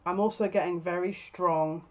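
A woman's voice: on the low side, steady tone, normal speed. A woman in her thirties giving a casual, spoken tarot reading to camera as a psychic reader. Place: small furnished room at home, indoors. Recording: dull lo-fi webcam sound.

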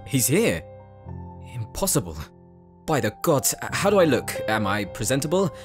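Casting: male actor as proud, stern master shipwright, formal and clipped, medium pitch, fantasy audio drama. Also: big pitch swings, moderate pace, music in the background, close to an everyday voice. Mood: flustered. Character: stern, proud, uptight forge master, elder half-brother. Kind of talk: fantasy audio roleplay acting.

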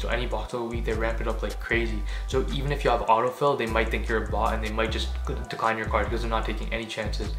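A young man from Canada giving a casual how-to talk to camera in a small home room, quick and chatty with lots of fillers. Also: lowish voice, echoey room. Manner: quick and chatty, many fillers like "you know". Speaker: sneaker vlogger.

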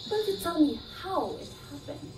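A woman's voice: high-pitched, sing-song, moderate pace. A young woman acting in a stage play as a shrewd, probing, taunting and self-assured figure. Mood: challenging. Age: young adult. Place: indoor theatre set, far camera. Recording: echoey distant stage recording with music.

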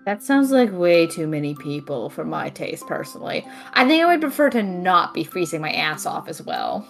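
A woman's voice: on the low side, sing-song, normal speed, creaky, soft background music. A woman playing a dry, affectionately sarcastic young homebody, teasing her best friend in an audio drama. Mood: wry, teasing. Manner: wry, teasing.

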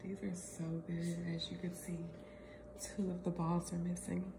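A woman's voice: fairly low pitch, completely flat delivery, a little slow. A woman giving casual, slangy commentary as she cooks at home, filming on a phone. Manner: informal, slangy, pleased murmuring asides. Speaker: home cook vlogger. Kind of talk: casual cooking commentary while working. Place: home kitchen, indoors. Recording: clearly noisy home phone video.

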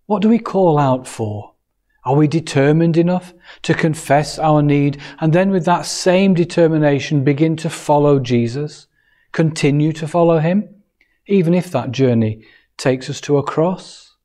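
Male preacher, 50s, mellow tone, earnest and measured sermon delivery. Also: on the high side. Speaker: Salvation Army officer preaching. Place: church hall, indoors.

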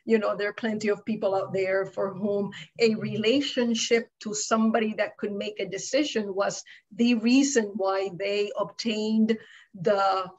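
A woman's voice: mid-range voice, steady tone, measured pace, muffled recording. A middle-aged woman from Puerto Rico speaking on a panel over a video call, explaining her views reflectively and often checking in with "right?". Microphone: video call webcam.

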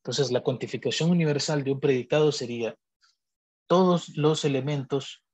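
A man teaching a logic lesson over a screen recording, speaking in a measured, deliberate way and pausing to correct himself as he explains. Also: medium pitch, a little mellow, husky. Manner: measured, deliberate, thinks aloud with pauses and self-corrections. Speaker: math teacher. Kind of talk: explaining logic notation on a digital whiteboard.

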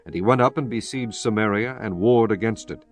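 A man narrating a dramatized Bible from a script, solemn and measured in his storytelling. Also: on the low side. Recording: music bed under the voice. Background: background music.

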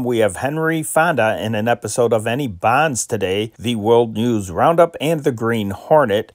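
A man's voice: fairly low pitch, dry recording. A man hosting a vintage radio channel in a casual, conversational style. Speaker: host of an old-time radio channel.

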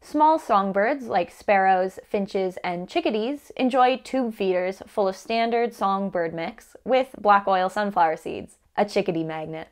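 A young woman giving scripted instructional narration in a measured, carefully enunciated way. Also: medium pitch, clean tone. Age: young adult. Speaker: presenter of a beginner's birdwatching guide.

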